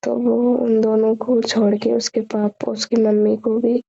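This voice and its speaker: A woman's voice: fairly high pitch, flat delivery, measured pace, creaky, mellow. A young woman chatting casually and personally on a phone call, often repeating her phrases, in a lo-fi recording.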